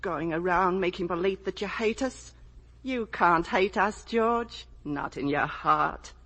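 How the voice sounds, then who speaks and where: A woman's voice: fairly low pitch, slow pace, a bit bright, full character voice. An actress with an American accent playing a doting, bossy older mother in a 1950 radio drama. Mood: fussing, insistent.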